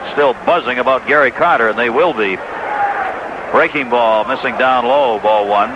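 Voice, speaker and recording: Male baseball announcer, medium-pitched voice, clipped descriptive calls, 1980s radio style, dull noisy broadcast recording. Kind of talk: live 1980s radio sports commentary. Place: stadium broadcast booth.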